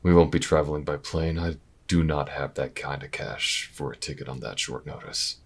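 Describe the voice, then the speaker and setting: An actor playing a weary, wry, protective investigator in an intimate audio drama, low-key and dry, with hesitant pauses. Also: male voice, heavily acted. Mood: weary resolve. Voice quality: very deep, gravelly, husky. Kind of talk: audio roleplay acting, intimate and naturalistic.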